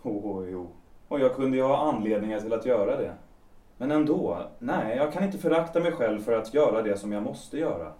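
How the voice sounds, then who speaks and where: A young actor with a Swedish accent playing a hesitant, evasive lover who speaks softly in an audio drama. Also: male voice, medium pitch, animated, close to an everyday voice. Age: young adult. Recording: roomy, distant from the mic.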